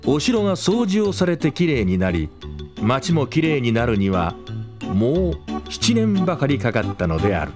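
A man plays a droll storybook narrator for a comic fairy tale. His mid-range voice moves expressively through scripted lines, with music clearly behind him. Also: mid-range voice, big pitch swings, normal speed, natural voice. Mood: droll, storytelling. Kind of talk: scripted narration in audio drama. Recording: studio narration over music.

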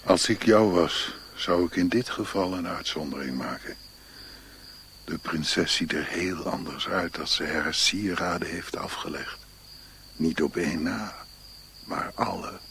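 A man's voice: low-pitched, a little slow, fairly bright tone. An actor playing an old exiled Chinese man, sly and melancholy, in a radio play. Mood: sly, confiding. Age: older. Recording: noisy archive radio play recording.

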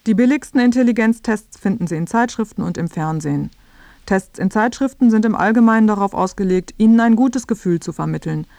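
A woman's voice: mid-range voice, animated, brisk, dark tone, no echo. A woman narrating a radio feature from a script in a factual, explanatory way. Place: dry studio.

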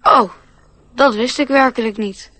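A woman's voice: a little high, unhurried, fairly bright tone. A woman acting an innocent, wide-eyed young schoolboy, eager and full of wonder, in a radio play. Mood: curious.

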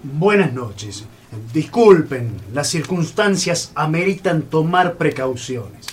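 An actor playing an earnest, cautious independence leader in a solemn radio play. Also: male voice, on the high side, expressive, takes it slowly, a little hiss or hum. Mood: hushed, wary. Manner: solemn, declamatory.

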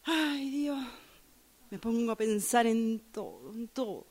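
Radio play: actress as brooding, judgmental female commuter, Rioplatense accent, high register, unhurried dramatic musing. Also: sing-song, darkish tone, exaggerated voice. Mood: catty, exasperated. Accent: Rioplatense Spanish. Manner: dramatic, slow, musing monologue. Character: judgmental woman waiting for a train, brooding over passers-by. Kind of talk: radio drama monologue workshop exercise.

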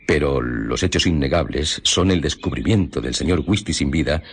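Grave male investigator, Spain accent, radio drama. Very low, very husky, formal and methodical. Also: lively intonation, moderate pace, heavily acted. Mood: grave, measured. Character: grave male police investigator recounting a murder. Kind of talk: Spanish radio drama acting.

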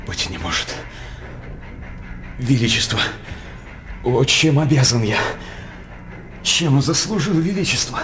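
An actor playing a sly court jester in a radio stage drama, wry and fawning, with a theatrical delivery. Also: male voice, mid-range voice, background noise. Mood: fawning, incredulous.

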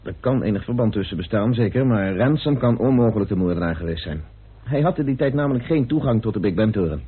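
A man's voice: lowish voice, lively intonation, quick pace, husky. An actor playing an earnest, questioning male investigator in a vintage Dutch radio mystery, on a muffled old recording. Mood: doubtful, reasoning. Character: earnest male investigator following up a murder lead.

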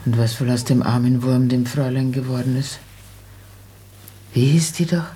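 A woman's voice: rumbling low, normal speed, mellow. An actress playing an anxious, gossipy old mother in a German radio play, with a fretful, rambling, homely way of talking. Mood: anxious, hushed. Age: older.

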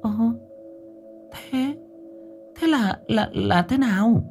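A shrill, frantic old village wife, as a male storyteller puts her on, stammering and tripping over her words. Her voice jumps high and swings wildly in pitch. Faint music runs underneath. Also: big pitch swings, moderate pace. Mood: scolding.

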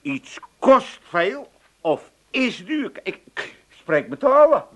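A man's voice: extremely high pitch, very animated, slow pace, exaggerated voice. An actor playing a cheeky, argumentative old father with an Amsterdam accent in a comic radio play, blustering and tripping over his words. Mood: indignant. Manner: blustering, muddled, stammering over grammar.

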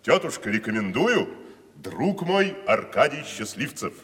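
An actor playing a grandiose, declamatory wandering tragedian in a 1970s radio play. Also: male voice, mid-range voice, big pitch swings, unhurried, a bit bright. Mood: mock-festive. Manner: theatrical, declamatory, mock-solemn. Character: grandiose wandering tragic actor, man, middle-aged. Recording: reverberant studio.

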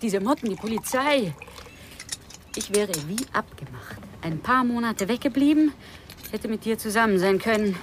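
Anxious, defiant wife played by an actress, faint noise. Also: female voice, mid-range voice, natural voice. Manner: agitated, dramatic, aggrieved. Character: anxious, defiant runaway wife in secret affair. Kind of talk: radio drama dialogue.

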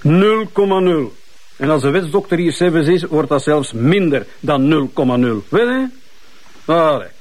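An actor playing a grumpy, sarcastic forensic investigator with a Flemish accent, bossy and mocking. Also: male voice, fairly high pitch, animated, unhurried, darkish tone, no echo. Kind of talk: naturalistic television crime drama acting.

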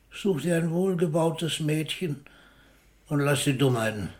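A man's voice: fairly high pitch, expressive, takes it slowly. Ailing old poet, wry and fatherly. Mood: wry, musing. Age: older. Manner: philosophical, wryly theatrical, with whispered asides. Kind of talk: German radio play acting.

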